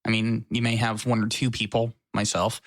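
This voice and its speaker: A man's voice: lowish voice, completely flat delivery, normal speed, no room sound, clean studio sound. A man co-hosting a podcast, chatting casually with a joking, self-mocking manner. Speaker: podcast co-host. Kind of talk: casual chat. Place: his apartment.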